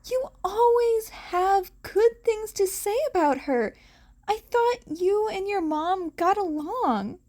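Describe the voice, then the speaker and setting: Woman playing a blunt, high-voiced teenage girl. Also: animated, slow, a little mellow, heavily acted. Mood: blunt, exasperated. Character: blunt, exasperated but caring teenage girl.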